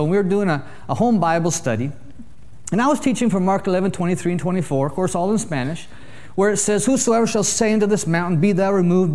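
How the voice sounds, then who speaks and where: A man preaching to a church congregation, telling jokey stories in a lively way. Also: sits high. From Canada. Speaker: visiting preacher. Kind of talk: sermon with humorous storytelling.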